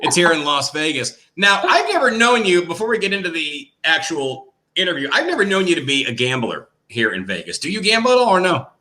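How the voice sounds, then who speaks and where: A man's voice: on the high side, sing-song, fairly quick, few pauses, bright, forceful tone. A man hosting a casual interview, joking at his own expense and asking questions. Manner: jokey, self-deprecating, asks questions. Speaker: podcast host.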